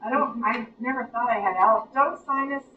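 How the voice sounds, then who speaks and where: A woman's voice: fairly high pitch, normal speed, a little hiss or hum. An Appalachian American woman in a small workshop group, asking questions and checking details. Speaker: workshop attendee. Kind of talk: casual small-group chat. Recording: distant roomy recording, muffled.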